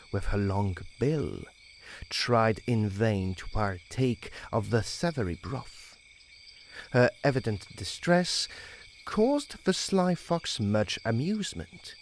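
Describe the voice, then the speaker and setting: A man narrating fables as a guest storyteller in an expressive storybook style. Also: lowish voice, a little hiss or hum.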